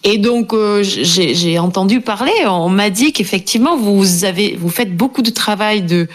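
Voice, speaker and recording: A woman's voice: medium pitch, moderate pace. Thoughtful woman interviewer.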